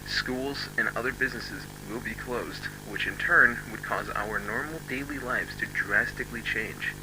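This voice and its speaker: A man playing a grave, formal emergency broadcast announcer, reading solemnly and deliberately from an analog horror script. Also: fairly low pitch, noisy background, natural voice. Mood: grave, ominous. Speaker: emergency alert narrator.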